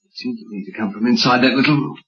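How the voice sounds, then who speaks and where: An actor playing a reluctant, wary husband who grumbles drily, in old-time radio drama style. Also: male voice, medium pitch, very fast, natural voice. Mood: uneasy. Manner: dry, grumbling, expressive. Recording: dull lo-fi old radio recording.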